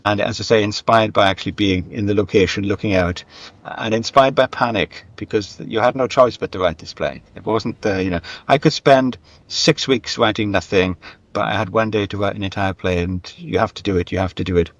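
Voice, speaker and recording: A man's voice: on the low side, brisk, no room sound. A mature man telling anecdotes conversationally as an interview guest, acting out bits of dialogue and repeating words for emphasis, on slightly muffled audio. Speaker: writer and script editor interviewed as guest.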